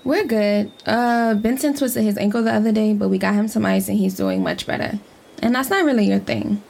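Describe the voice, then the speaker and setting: Very creaky-voiced, easygoing camp leader. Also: female voice, medium pitch, a little fast, a little mellow. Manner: casual, folksy, friendly. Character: caring, easygoing woman leading a riverside camp. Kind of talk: audio drama acting.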